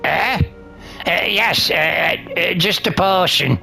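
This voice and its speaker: A man voicing a flustered, evasive old wizard from a visual novel, with a creaky voice and a hesitant, stammering delivery. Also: high-pitched, slow, pushed and bright, faint music behind, heavily acted. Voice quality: gravelly, creaky. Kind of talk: visual novel character read-aloud.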